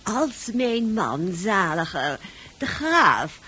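Slow, husky, haughty countess. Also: female voice, mid-range voice, sing-song, bright, forceful tone, heavily acted. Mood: theatrical reminiscing. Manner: grandiose, drawn-out. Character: haughty, theatrical widowed countess. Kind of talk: radio drama acting.

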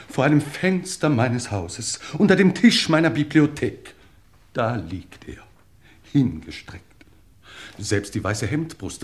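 An actor playing a pompous English lord, aghast and declaiming theatrically in a 1950s German radio play. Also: male voice, fairly low pitch. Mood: stricken.

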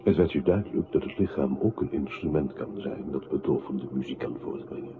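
A man acting a grave, brooding inner voice in a literary radio play, speaking in a measured, solemn way. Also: extremely low pitch, noisy background. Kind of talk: literary radio play narration in second person. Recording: tinny band-limited radio drama with music.

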